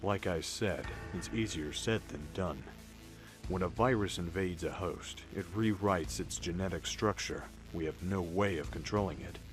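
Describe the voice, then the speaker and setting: Older army colonel, low voice, dry n defiant, radio drama, faint music. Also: male voice, a little slow. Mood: tense.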